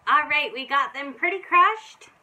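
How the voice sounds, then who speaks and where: A woman's voice: high-pitched, animated, measured pace, heavy background noise. A woman in her thirties with a North American accent, chatting through a recipe to camera in an upbeat, friendly way. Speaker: vlog host. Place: small wood-panelled living room.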